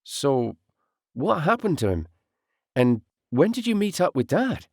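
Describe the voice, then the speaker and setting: A man's voice: on the high side, very animated, unhurried, no echo, clean studio sound, voice pushed up, heavily acted. A man voicing a curious, confused teenage boy questioning his mother in an audiobook story. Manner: questioning, puzzled.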